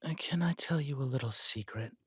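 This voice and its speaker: A man's voice: mid-range voice, a little fast, fairly bright tone, slightly rough, no echo. A man playing a villainous conqueror king in a romantic roleplay audio, smug and flirtatious, teasing and haughty as he draws out his words. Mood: conspiratorial, cynical.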